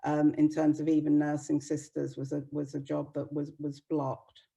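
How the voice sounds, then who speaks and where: A woman with a mellow, warm tone lectures in a steady, measured way. Her pitch stays flat and even throughout, with little rise or fall. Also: vocal fry, highs cut off. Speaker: speaker giving a talk on nursing history. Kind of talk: informal lecture.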